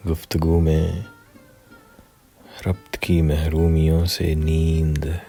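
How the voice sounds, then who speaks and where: A man reciting poetry in a measured, deliberate, dramatic way, pausing between short phrases. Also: extremely low pitch, monotone, gravelly, mellow, soft background music.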